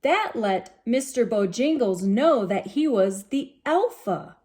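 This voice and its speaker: High-pitched woman reading slowly to children. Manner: expressive, sing-song on refrains, addresses children directly. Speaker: children's storybook reader. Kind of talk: read-aloud storytelling for young children.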